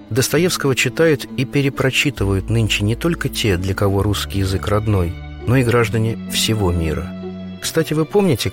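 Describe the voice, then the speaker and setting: A man hosting a radio book segment, reading his scripted commentary in a measured, reflective way. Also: on the low side. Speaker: radio host. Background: music.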